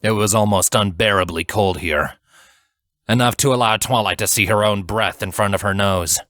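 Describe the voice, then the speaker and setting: A man narrating a dramatic fantasy story from a script in a measured, solemn storytelling manner. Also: lowish voice, bright, forceful tone, no room sound, clean studio sound. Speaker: audiobook narrator.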